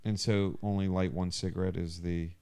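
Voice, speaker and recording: A man hosting a podcast, asking casual questions in a relaxed interview chat. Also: sits low, moderate pace, clean tone, no echo, clean studio sound. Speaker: podcast host.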